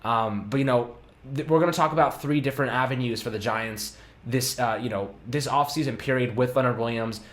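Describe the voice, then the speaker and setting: Young male co-host, casual and excitable, ordinary middle pitch, recorded in a reverberant room. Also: brisk. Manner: excitable, laughs often, many fillers and restarts. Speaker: podcast host. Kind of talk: casual sports podcast chat. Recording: echoey room.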